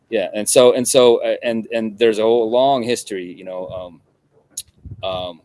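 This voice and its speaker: A middle-aged man from Russia, a guest in an interview, talking in a halting way with many restarts and repeated words. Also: fairly low pitch, a little mellow, slight background noise, poor audio. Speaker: guest journalist. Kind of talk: conversational interview.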